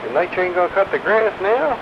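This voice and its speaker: A man's voice: high voice, expressive, a little fast. A man in casual, colloquial CB chat, heard through a noisy, muffled radio transmission. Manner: folksy, colloquial. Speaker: radio operator. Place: porch.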